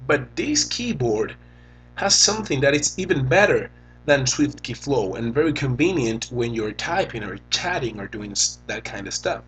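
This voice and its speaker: A young man from Venezuela with a Venezuelan Spanish accent, a native Spanish speaker, talking casually and haltingly as he demos and reviews a phone keyboard. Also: mid-range voice, sing-song, fairly bright tone, husky, rough recording. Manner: slow, halting, thinks aloud while typing. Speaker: tech reviewer.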